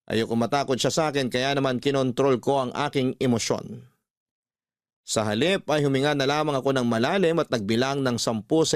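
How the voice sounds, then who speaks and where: A man with a Filipino accent narrating a first-person radio story in a calm, measured way. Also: medium pitch, dry recording, studio quality.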